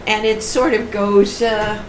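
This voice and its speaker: Brief: woman author on a panel show, mid-pitched, talks at length, roomy sound with light hiss. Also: fairly even intonation, slow. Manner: talkative, explains at length. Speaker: author guest. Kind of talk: casual panel chat.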